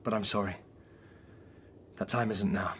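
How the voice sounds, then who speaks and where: An actor playing a weary, principled young doctor in a radio drama, speaking in an earnest, wry way. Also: male voice, lowish voice, steady tone, measured pace, a bit bright, breathy edge. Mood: resolute.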